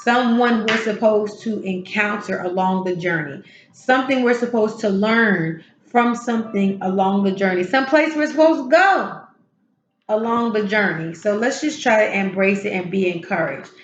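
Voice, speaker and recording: A woman hosting a tarot and spiritual channel, her voice a little hoarse, talking slowly and encouragingly from her home healing space. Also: mid-range voice, echoey room, dull audio, a little hiss or hum. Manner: soft, unhurried, encouraging, with drawn-out pauses. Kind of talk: casual spiritual encouragement talk.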